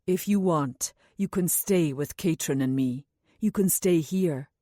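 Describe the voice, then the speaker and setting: A woman reading an audiobook voices a grave, commanding man who speaks gently and slowly to a child. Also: no echo, clean studio sound. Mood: gentle coaxing. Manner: deep, slow, authoritative yet gentle.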